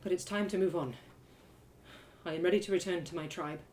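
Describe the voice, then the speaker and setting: A woman voicing a proud young elven woman in a story, guarded and formal, speaking with measured resolve. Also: fairly low pitch, darkish tone, a little hiss or hum, natural voice. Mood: guarded, regretful.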